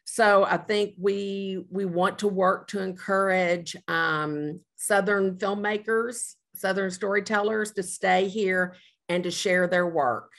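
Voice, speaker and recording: A middle-aged woman on a panel, speaking earnestly and in a measured way, with frequent ums. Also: medium pitch, steady tone, fairly bright tone. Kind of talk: panel discussion.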